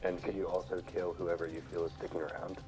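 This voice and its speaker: A man's voice: sits low, completely flat delivery, quick pace, over music. A man talking casually as a squad's ground commander over online game voice chat.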